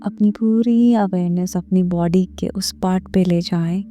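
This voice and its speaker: Slow, mellow woman guiding a meditation. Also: on the low side, fairly even intonation. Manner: gentle, measured, unhurried phrasing with pauses. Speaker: meditation guide and series host. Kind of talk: guided meditation, slow soothing instruction. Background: background music.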